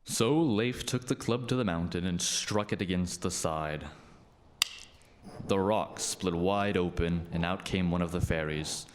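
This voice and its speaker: A man narrating a scripted radio play tale as an expressive, dramatic storyteller. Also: sits low, unhurried, background noise.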